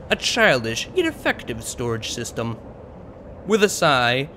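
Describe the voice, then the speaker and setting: A man's voice: on the high side, a bit bright, slight background noise. A man reading scripted fiction as an audiobook narrator.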